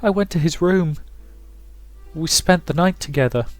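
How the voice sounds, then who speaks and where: A man voicing a distraught, fragile young woman close to madness, speaking haltingly in a visual novel. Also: a little high, dry recording, soft background music, voice pushed up, close to an everyday voice. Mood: dazed, confused. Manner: halting, repeating words.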